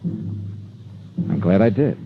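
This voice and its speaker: A man's voice: sits low, animated, very slow, creaky, background noise, heavily acted. A male actor playing a shrewd, hard-boiled insurance investigator in a 1950s radio drama, speaking in a wry, clipped way. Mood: tense, reflective. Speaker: lead actor. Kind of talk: 1950s radio detective acting, first-person narration and dialogue. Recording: dull vintage radio recording with music.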